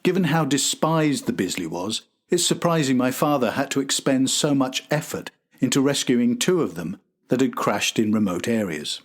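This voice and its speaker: A man's voice: mid-range voice, animated, normal speed. A man hosting and narrating a history documentary from a script. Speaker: host and narrator.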